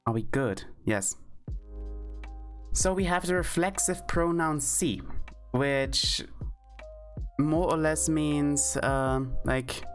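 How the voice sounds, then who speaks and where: Very deep-voiced woman. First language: German. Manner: thinking aloud, frequent fillers and self-corrections. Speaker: streamer teaching Esperanto. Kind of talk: casual live-stream language lesson.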